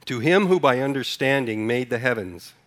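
A man's voice: mid-range voice, lively intonation, moderate pace, fairly bright tone. A man from Sudan preaching a sermon to a congregation, talking casually, putting questions to them and then leading a responsive reading line by line. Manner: conversational, asks the congregation questions. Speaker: pastor.

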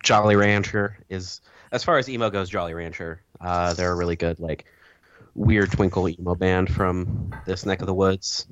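A man in his twenties co-hosting a casual call-in chat, talking in a measured, wordy way with dry humor. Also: deep voice, steady tone, muffled recording, noisy background. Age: 20s. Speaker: podcast co-host.

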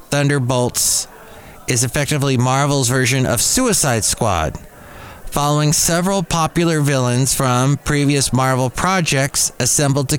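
A man hosting a solo podcast, delivering entertainment news commentary in a wry, opinionated way. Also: medium pitch. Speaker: podcast host. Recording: clear background noise and ambience.

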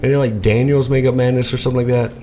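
A man's voice: medium pitch, steady tone, rapid-fire, warm tone, faint music behind. A man co-hosting a casual radio show, rambling with lots of fillers and jokes. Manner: rambling, filler-heavy, joking. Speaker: podcast co-host.

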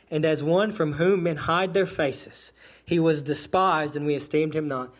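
Man, pastor, preaching, slightly higher voice. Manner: explanatory, with self-corrections and small laughs. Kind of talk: sermon. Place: church sanctuary.

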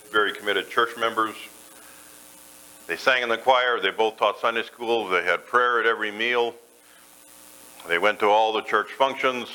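A man's voice: fairly low pitch, bright, forceful tone, poor audio. A man preaching a sermon in a church, folksy and self-deprecating as he mixes jokes with scripture. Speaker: pastor.

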